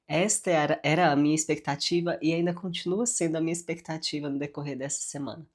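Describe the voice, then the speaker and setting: A woman's voice: sits low, steady tone, quick pace. A woman with a congested, nasal voice teaching the Bible and praying, often adding "né?" and "amém?" as she speaks, with a Brazilian accent. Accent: Brazilian Portuguese. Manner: warm, frequent "né?" and "amém?" tags. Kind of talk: devotional Bible teaching with prayer.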